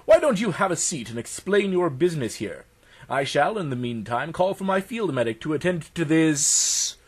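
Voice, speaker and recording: A man voicing a stuffy, pompous Edwardian gentleman adventurer narrating a pulp audiobook, formal and theatrical in manner. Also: a little high, sing-song, normal speed, breathy edge. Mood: dry, affronted.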